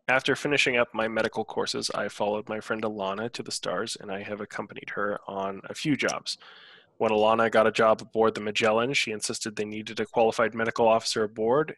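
A man in his thirties with a fairly low voice reads a prepared character introduction for a tabletop game. The recording is dry and close. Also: a bit bright, no room sound. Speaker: player. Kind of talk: scripted first-person character introduction, read aloud. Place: indoors at home, online video call.

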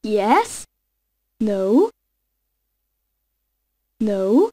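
A woman voicing a young girl in a fairy-tale cartoon, with a plaintive, drawn-out manner. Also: mid-range voice, sing-song, dark tone, dry recording, exaggerated voice. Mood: glum, doubtful.

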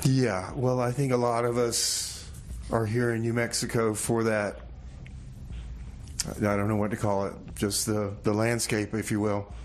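A man hosting a casual radio interview, feeling his way into questions haltingly and repeating words. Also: lowish voice, little pitch movement. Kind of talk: casual, loose interview chat. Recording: clear background noise and ambience.

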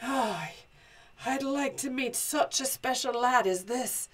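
A woman's voice: high-pitched, takes it slowly, husky, distant mic, exaggerated voice. A woman voicing a boastful, easily unnerved red-headed Scottish giant man in a children's folk tale, blustering and exclaiming. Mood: curious bluster. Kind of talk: animated children's storytelling character voice.

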